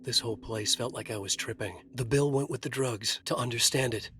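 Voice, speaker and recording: Male actor as trapped writer, video game noir narration, brooding, deliberate, medium-pitched. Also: a bit bright, lightly acted. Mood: brooding, uneasy. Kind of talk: moody scripted noir narration.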